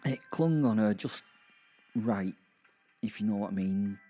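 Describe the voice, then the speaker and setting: A British man narrating a darkly comic audio drama in the first person, with wry, conversational, halting asides. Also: lowish voice, dark tone, soft background music.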